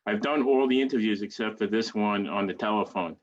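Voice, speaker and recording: A man conducting an informal oral-history interview over a video call, speaking haltingly and repeating himself. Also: fairly low pitch, a little hiss or hum. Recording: dull, lo-fi video call audio.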